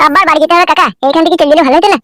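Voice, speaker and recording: গ্রামবাংলার টানে একজন পুরুষ কার্টুনে এক বাড়িমুখো, নরম অথচ মুখখারাপ তরুণ স্বামীর গলা দিচ্ছে, অনুনয়ের সুরে।. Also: male voice, very high voice, steady tone, racing, bright, forceful tone, no echo, studio quality. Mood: pleading. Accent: rural West Bengali. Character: pleading, homesick young husband, meek but foul-mouthed. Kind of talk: amateur comedy cartoon dub.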